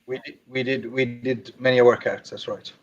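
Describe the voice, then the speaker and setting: A man from Ireland and Birmingham telling his own story as an interview guest, measured and reflective, with some Arabic phrases. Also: mid-range voice, steady tone. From Ireland and Birmingham, England. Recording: dry, slightly dull lo-fi audio.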